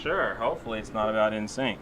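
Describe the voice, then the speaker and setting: A man's voice: lowish voice, fast talker, noisy background. A man on a comedy panel asking questions and joking casually.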